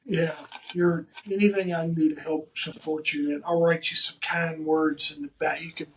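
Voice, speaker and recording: A podcast host with a Southern accent, his voice sitting a bit high for a man. He speaks in a flat, level tone, earnest and warm-hearted in his words, often restarting and repeating phrases. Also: moderate pace, slight background noise, poor audio. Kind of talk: podcast interview.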